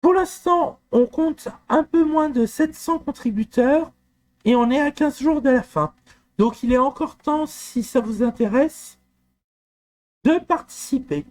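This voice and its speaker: A man from France talking through a software walkthrough, hesitant with frequent fillers and restarts. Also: very high voice, measured pace. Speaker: software tutorial presenter. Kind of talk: screen-recorded walkthrough.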